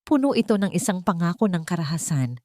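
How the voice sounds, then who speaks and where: Low-voiced woman narrating a drama. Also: sing-song, quick pace, warm tone, no echo, studio quality. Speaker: storyteller. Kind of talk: dramatic scripted story narration.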